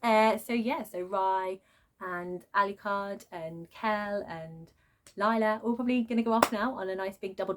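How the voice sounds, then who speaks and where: Sarcastic woman on a book rant. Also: mid-range voice. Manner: exasperated, sarcastic. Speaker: book reviewer. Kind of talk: casual, unscripted book rant.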